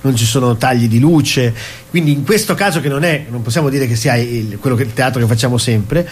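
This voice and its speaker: A man's voice: mid-range voice, fairly quick, a little hiss or hum. A man being interviewed as a cabaret actor, talking casually and at length, explaining and stacking up phrases. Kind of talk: casual interview talk about his stage show.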